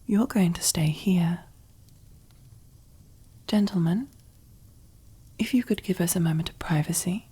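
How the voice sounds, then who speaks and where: Husky, whispering female mob boss, acted by a woman. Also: on the low side, mellow. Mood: seductive, commanding. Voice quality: breathy, husky near-whisper. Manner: slow, deliberate, teasing, condescending endearments. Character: commanding, possessive, silkily menacing female mob boss. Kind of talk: ASMR roleplay, close whispered character acting.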